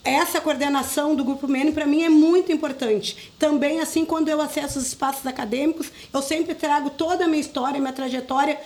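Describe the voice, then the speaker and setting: A woman's voice: high-pitched, steady tone, brisk, few pauses, darkish tone. A woman from southern Brazil with a Rio Grande do Sul accent, speaking earnestly and conversationally as an interview guest. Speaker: interview guest, community group coordinator. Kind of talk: conversational radio interview talk.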